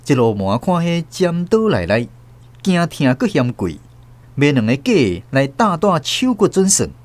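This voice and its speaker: A man's voice: fairly high pitch, a little slow. A man narrating a radio drama story as the show's host. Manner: lively storytelling.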